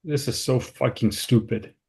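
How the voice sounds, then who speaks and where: A man's voice: medium pitch, unhurried, vocal fry, darkish tone. A man acting an anxious, straight-laced and sceptical character in a naturalistic table read. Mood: exasperated.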